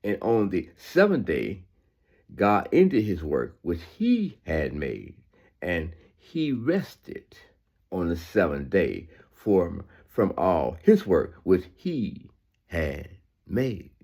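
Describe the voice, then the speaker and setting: Man, deep low voice, lively rise and fall, emphatic scripture reading, informal preaching asides, repeated phrases. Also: slow, vocal fry. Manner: conversational asides seeking agreement. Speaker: Bible reader giving commentary.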